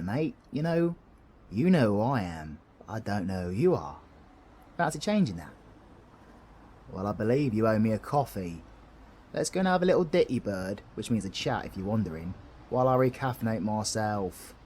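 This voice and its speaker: A man's voice: on the low side, big pitch swings, moderate pace, darkish tone, slight background noise. A British man playing a cheeky, kind-hearted supervillain in an audio roleplay, chummy, slangy and reassuring, with a bit of mild swearing. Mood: warm, cheeky. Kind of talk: scripted audio roleplay addressed to the listener.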